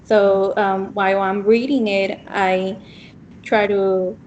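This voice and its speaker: A young woman whose first language is Spanish speaks slowly and carefully. She hesitates often, filling the gaps with ums and restarting her sentences. Her pitch sits mid-range, and a faint hiss runs under the recording. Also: little pitch movement, darkish tone, highs cut off. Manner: halting, many ums and restarts. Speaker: student teacher in training. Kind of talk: casual class discussion.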